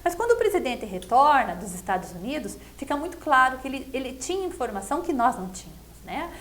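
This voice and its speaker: High-pitched Brazilian woman, earnest commentator. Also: sing-song, fast talker, a bit bright. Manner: earnest, explanatory, frequently seeking agreement with tag questions. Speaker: interview guest, political commentator. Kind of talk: conversational political commentary.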